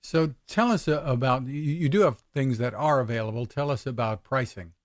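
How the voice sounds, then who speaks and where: A man's voice: mid-range voice, moderate pace, dry recording, studio quality. A man hosting an interview, asking plain, encouraging questions. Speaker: interviewer, host.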